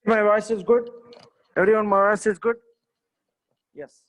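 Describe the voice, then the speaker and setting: A man's voice: high-pitched, fairly even intonation, unhurried, poor audio. A man, teaching maths in a live online class. He repeats himself, checks on his students often and praises their answers.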